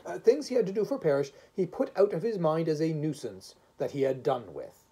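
A stern, unseen judge from a storybook, acted out by a reader. The voice is husky and soft-edged, swinging in pitch while staying formal, exacting and dismissive. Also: expressive, a little fast. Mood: stern, grudging.

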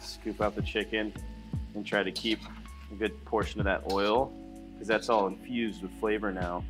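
A young man talking viewers through a recipe in a small studio kitchen set, relaxed and chatty, with music playing underneath. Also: lowish voice, moderate pace. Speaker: cooking vlogger. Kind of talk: casual cooking demonstration. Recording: clear background music under voice.

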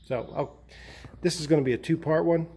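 A man in his fifties casually narrating hands-on garage work, with halting, hesitant phrasing. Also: a little high, dark tone. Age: 50s. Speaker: DIY hobbyist host. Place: indoor garage workshop.

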